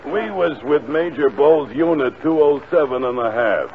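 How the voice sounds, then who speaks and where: An actor playing a folksy, down-and-out strolling troubadour in a 1940s radio comedy. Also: male voice, fairly high pitch, lively intonation, normal speed, crowd noise. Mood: sheepish, folksy. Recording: tinny, hissy 1940 radio recording.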